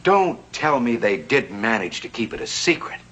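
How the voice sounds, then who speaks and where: A man's voice: medium pitch, normal speed, slightly rough, dull audio. An American man playing a determined, scheming banker in a vintage television western, earnest and persuasive. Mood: slyly flattering. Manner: earnest, persuasive, slyly flattering. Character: determined, scheming male banker in the Old West.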